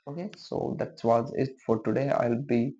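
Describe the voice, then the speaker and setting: Male vlogger with a Hindi mother tongue, a level and even delivery, hesitant with lots of fillers, and dull lo-fi sound. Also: mid-range voice, moderate pace. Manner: hesitant, many fillers and restarts, encouraging. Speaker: English-speaking vlogger. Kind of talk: casual talk to camera, giving advice.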